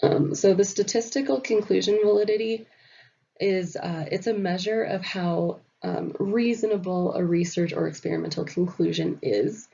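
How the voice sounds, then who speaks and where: A woman talks through her slides in a nasal voice, her throat still rough from a recent illness. Her tone is low and mellow, with a husky, gravelly edge. The recording sounds dull and muffled. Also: fairly even intonation, moderate pace. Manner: many fillers, explanatory. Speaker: student presenter.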